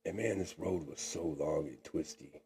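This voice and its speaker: A man's voice: a bass voice, moderate pace, warm tone, a little hiss or hum. A man in his forties co-hosting a podcast, chatting casually and drawling, often tagging lines with "man". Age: 40s. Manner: drawling, says "man" a lot. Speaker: podcast co-host. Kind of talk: casual chat.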